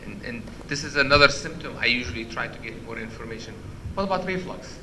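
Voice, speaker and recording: A man with a loud voice giving an interactive medical lecture, quizzing the audience and restarting his sentences often. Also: mid-range voice, lively intonation, fast, a little hiss or hum, low-quality recording. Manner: questions the audience, many restarts. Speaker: physician lecturer. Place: conference hall.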